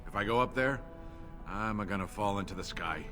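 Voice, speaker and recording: Man playing a naive, lowish-voiced dwarf. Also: brisk, over music, natural voice. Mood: naive wonder. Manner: simple, wondering, folksy grammar. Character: naive, friendly poor underground dwarf man.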